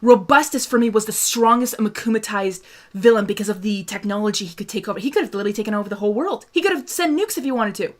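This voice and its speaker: A woman's voice: mid-range voice, quick pace. A young woman from Hungary hosting a casual fan discussion, chatting through viewer questions with lots of laughs, fillers and restarts. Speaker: host answering viewer questions.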